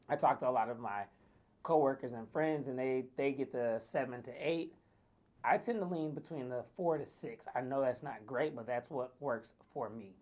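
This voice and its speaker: Man, raspy voice, worn out from lack of sleep, chatty vlog talk, middle pitch. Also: a little mellow. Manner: chatty, loose, lots of fillers. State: tired, short on sleep. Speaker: wellness vlogger. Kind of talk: casual talk to camera.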